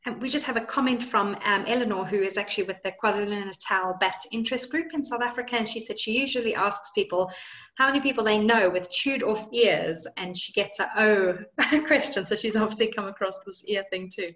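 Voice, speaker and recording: Medium-pitched woman in a webinar. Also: a little fast, a bit bright, rough recording. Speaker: webinar panelist. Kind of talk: casual webinar discussion. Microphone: video call.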